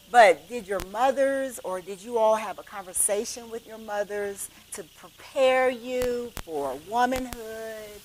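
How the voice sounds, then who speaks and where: A woman's voice: mid-range voice, a little hiss or hum, poor audio. Woman in her fifties hosting a panel. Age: 50s. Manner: warm, conversational, checks in with "okay?". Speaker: host, moderator of a women's panel. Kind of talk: church panel discussion. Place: church assembly room.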